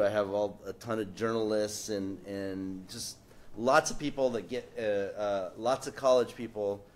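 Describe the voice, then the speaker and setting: Low-voiced rambling man on a panel. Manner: rambling, many fillers and restarts, joking. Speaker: panelist, political cartoonist. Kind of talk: casual panel talk. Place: event space with audience.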